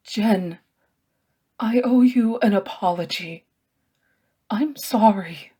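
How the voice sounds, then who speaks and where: A woman reader plays a gentle male scholar in a storybook. He speaks slowly and carefully, hushed and earnest, full of quiet remorse. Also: medium pitch, husky, voice pushed up. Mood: gentle, apologetic.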